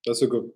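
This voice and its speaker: A man chatting casually as a livestream host, halting and offhand, often repeating his phrases. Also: dark tone. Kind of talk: casual rambling livestream chat.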